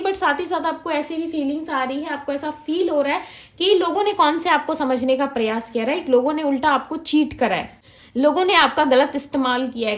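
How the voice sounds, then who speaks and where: High-pitched woman giving a tarot reading. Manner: Hindi mixed with English, frequent "ठीक है" fillers; gentle, reassuring, addresses viewers directly. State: throat feels heavy. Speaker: tarot reader.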